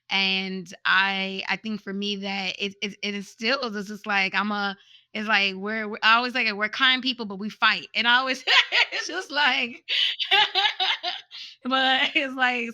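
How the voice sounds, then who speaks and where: An animated woman, born in the United States to Haitian parents, speaks as a guest in a casual interview. Her voice is bright and sharp, and she laughs often and restarts her sentences. The sound is dry and close. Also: medium pitch, no room sound. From USA, born to Haitian parents. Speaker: podcast guest, nonprofit founder.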